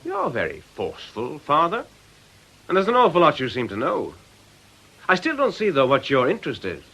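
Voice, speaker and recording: A worldly male club owner with a bright, forceful voice. He speaks on the high side and swings widely in pitch, breezy and sardonic as he dodges in radio drama style. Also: wildly swooping pitch, normal speed, slightly rough, full character voice, rough recording. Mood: sardonic, evasive. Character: glib, cagey nightclub owner, a worldly man.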